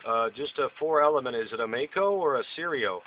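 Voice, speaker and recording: A man's voice: mid-range voice, lively intonation, brisk. A man chatting casually over two-way radio as an operator. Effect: two-way radio transmission. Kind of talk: casual radio contact chat. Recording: noisy, muffled radio audio.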